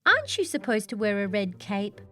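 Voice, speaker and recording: Here is a storybook wolf with no manners at all, acted out by a woman reading to children. He is greedy and cheeky. He pushes his luck and puts on menace, with soft music underneath. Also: medium pitch, sing-song, a little fast. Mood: teasing.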